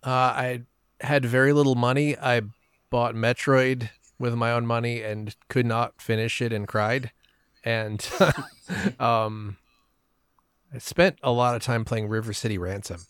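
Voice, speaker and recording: Clear-voiced man chatting casually, close and dry. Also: mid-range voice, steady tone, measured pace, no room sound. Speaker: podcast guest. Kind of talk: casual chat, telling personal anecdotes.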